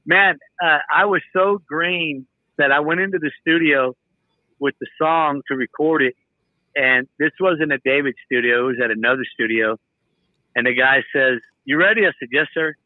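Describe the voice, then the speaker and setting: A man telling stories in a casual podcast chat, animated, acting out what other people said. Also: on the high side, moderate pace, dry recording. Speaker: guest musician. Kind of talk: casual storytelling. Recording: tinny phone-like sound.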